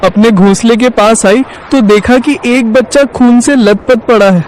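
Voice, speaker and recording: Man playing a high-pitched, concerned parrot. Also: a little slow, a little mellow, slight background noise, rough recording. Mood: distressed. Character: concerned male parrot, brotherly, urgent storyteller. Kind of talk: Hindi cartoon story dialogue.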